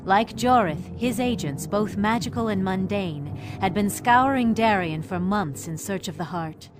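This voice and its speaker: A woman giving measured, solemn scripted narration for a fantasy game's story scenes. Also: mid-range voice, animated, noisy background.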